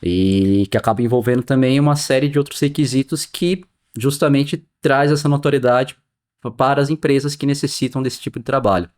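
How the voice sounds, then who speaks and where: A man's voice: medium pitch, fairly quick, darkish tone. A man in his forties from the São Paulo interior, speaking with that Brazilian accent as a podcast guest who explains things in a friendly way, with many filler tags. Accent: São Paulo interior Brazilian Portuguese. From Sorocaba, São Paulo state, Brazil. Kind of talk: casual podcast conversation.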